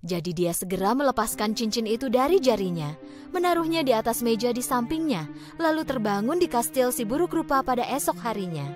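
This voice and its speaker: A woman narrating a children's fairy tale in an expressive, scripted storytelling style. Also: on the high side, brisk. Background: background music.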